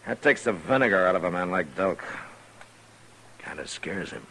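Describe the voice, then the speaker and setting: An actor playing a tough, commanding American frontier marshal in 1950s western radio style, deliberate, blunt and dryly menacing. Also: male voice, deep voice, animated, gravelly, pushed and bright, exaggerated voice. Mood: calm, wary. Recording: noisy old radio recording.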